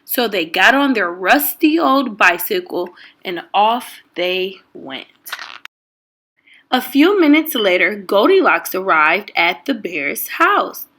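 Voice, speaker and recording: A woman reading a children's story aloud in an expressive, deliberate and emphatic narrating style. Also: medium pitch. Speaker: storytime reader.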